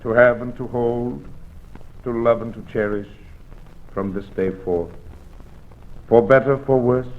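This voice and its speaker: A man's voice: mid-range voice, steady tone, close to an everyday voice. Man as a solemn minister on tinny radio. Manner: ceremonial, measured phrasing. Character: solemn male minister conducting a wedding. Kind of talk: 1940s radio acting. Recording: tinny, narrow old radio recording.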